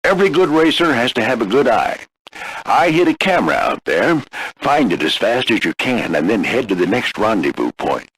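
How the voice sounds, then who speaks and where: An older American man voicing a gruff veteran warplane flight instructor in a video game, husky and folksy, coaching firmly but with encouragement. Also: medium pitch, big pitch swings, normal speed, a bit bright, no echo, poor audio. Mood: stern instructing. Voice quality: gravelly, husky. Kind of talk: scripted video game character lines.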